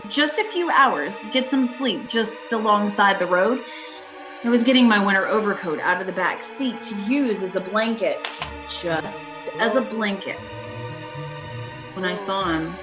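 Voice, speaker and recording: A woman's voice: mid-range voice, close to an everyday voice, poor audio. A woman playing a frightened, desperate lady driver in a radio thriller, urgent and dramatic. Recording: music behind the voice.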